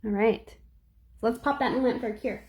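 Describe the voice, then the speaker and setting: A young woman with a slightly squeaky voice, chatting casually through a live nail art tutorial and answering viewers as she works. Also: moderate pace, darkish tone, slightly rough. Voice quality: a little squeaky.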